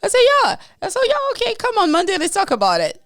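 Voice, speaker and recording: A woman from Congo who grew up in France, speaking with a French accent as a podcast co-host, telling her story in a lively way, laughing and acting out what people said. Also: very high-pitched, fast talker, no room sound, studio quality. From Congo, raised in France. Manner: lively, laughs often, acts out quotes. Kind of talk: casual interview storytelling.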